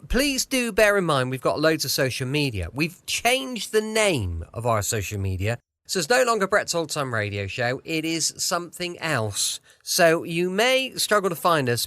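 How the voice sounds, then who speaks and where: An English man chats casually as a podcast host. His fairly high voice is very animated in pitch. The sound is close and dry. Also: no room sound. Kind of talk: casual chatty show introduction. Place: close, dry home studio.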